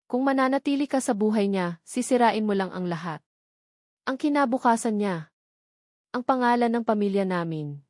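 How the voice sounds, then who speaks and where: A woman's voice: medium pitch, moderate pace, dry recording, studio quality, close to an everyday voice. Woman acting a cold, haughty rich older mother. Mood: stern. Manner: calm, sharp, contemptuous.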